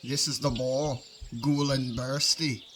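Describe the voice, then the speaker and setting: A man playing an earnest dwarf smith in a fantasy audio drama, speaking formally and with measured delivery. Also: mid-range voice, steady tone, fairly bright tone, a little hiss or hum. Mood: proud, ceremonial. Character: earnest male dwarf smith presenting enchanted gifts.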